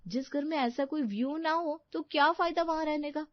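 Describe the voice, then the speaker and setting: A spoilt young woman in a storybook drama , acted by a woman, speaking in a high, fussy, gushing voice. She sounds entitled and demanding, recorded dry and a little dull. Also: moderate pace, no echo, close to an everyday voice. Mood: dreamy, demanding. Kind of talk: Hindi audio story acting.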